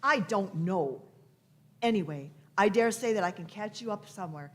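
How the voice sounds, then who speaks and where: An inquisitive, eccentric lady doctor of time travel, acted by a woman. Her pitch is middling and she muses aloud in old-fashioned phrases, self-assured, as in an amateur radio play. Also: animated, moderate pace, natural voice. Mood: cautioning.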